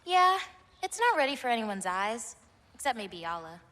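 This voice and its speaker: A woman playing a gentle, self-reliant teenage giantess in a video game, speaking in a natural, expressive, conversational way. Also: fairly high pitch, normal speed. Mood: modest, sincere.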